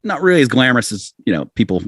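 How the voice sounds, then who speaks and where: A man's voice: medium pitch, very animated, very fast, fairly bright tone, husky, no room sound, studio quality. An American man in his forties chatting casually as an interview guest, rambling and self-deprecating as he tells stories. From southern United States. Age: 40s. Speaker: podcast guest, rock musician.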